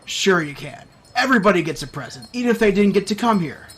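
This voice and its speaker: A man playing a kindly, modest store Santa who talks in a casual, gentle way. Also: high voice, lively intonation, quick pace, a little hiss or hum. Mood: kindly, generous.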